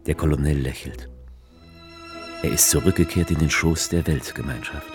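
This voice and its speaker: A man's voice: very deep voice, fairly even intonation, normal speed. An actor playing a male writer who travels to Tripoli and narrates the story in a dry, ironic way. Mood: wry. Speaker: narrator as author character. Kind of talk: radio drama narration. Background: music score.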